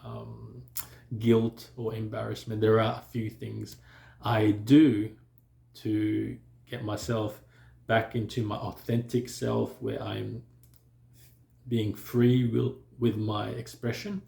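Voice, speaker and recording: A man who stutters, talking calmly and reflectively to camera, with pauses and occasional blocks on words. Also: lowish voice, creaky, reverberant. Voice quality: stutters and blocks on some sounds. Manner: calm, measured, with pauses and fillers. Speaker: vlogger sharing stuttering experience. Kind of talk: reflective personal talk to camera.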